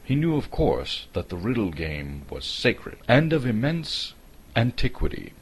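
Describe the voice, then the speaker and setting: A man's voice: mid-range voice, very animated, measured pace, slightly rough. A man from Canada giving a dramatic storybook narration as the narrator.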